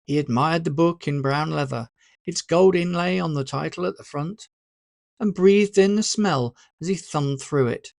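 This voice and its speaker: An author narrating a story in an expressive, deliberate, scripted audiobook style. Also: medium pitch, vocal fry, dry recording, clean studio sound.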